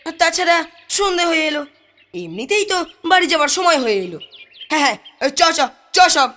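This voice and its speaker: A woman's voice: extremely high pitch, big pitch swings, a little fast, pushed and bright, exaggerated voice. A woman voicing a sensible, cautioning village child in a cartoon, with a coaxing, warning manner. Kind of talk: Bengali cartoon dubbing.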